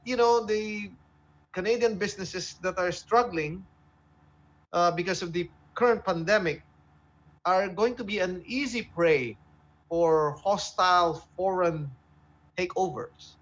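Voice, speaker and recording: A man hosting a current affairs discussion, speaking haltingly and repeating words as he goes. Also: sits high, a bit bright, highs cut off. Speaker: talk show host. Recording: music bed behind the voice. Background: music.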